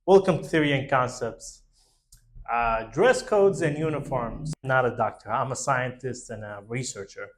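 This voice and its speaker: A man with a medium-pitched voice hosts his own informal talk to viewers. He explains ideas in a halting, rambling way. His phrasing has the loose grammar of an English speaker. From Middle East. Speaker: host of a concept talk show.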